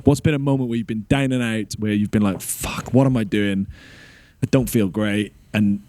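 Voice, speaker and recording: A man's voice: on the low side, steady tone, moderate pace, a little mellow. A man hosting a podcast, asking long rambling questions and swearing casually. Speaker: podcast host.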